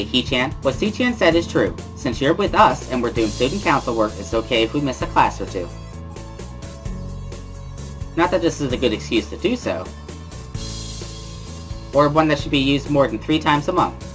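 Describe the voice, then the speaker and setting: A man acts out a bubbly teenage schoolgirl from a visual novel. The voice sits high and pushes loud, exclamatory and teasing, with music playing behind it. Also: animated, moderate pace, pauses often, close to an everyday voice. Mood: chirpy, insistent. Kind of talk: dramatic reading of a visual novel.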